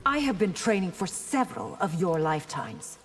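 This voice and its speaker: An actress playing a stern, regal warrior princess, formal and cool, in video game banter. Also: female voice, fairly low pitch, big pitch swings, normal speed, a little hiss or hum. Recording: long hall reverb.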